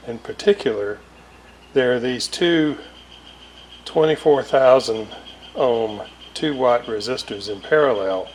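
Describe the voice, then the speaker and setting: A man's voice: mid-range voice, slow pace. A man giving a casual, unscripted technical explanation as a hobbyist restorer, thinking aloud hesitantly in broken phrases. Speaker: hobbyist radio restorer presenting a repair series. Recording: roomy, distant mic, clear ambient noise.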